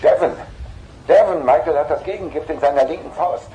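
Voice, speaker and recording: A man's voice: a little high, brisk, dull audio, background noise, lightly acted. A man voicing a loyal, concerned talking computer car with precise, formal manners in a German radio play. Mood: calm.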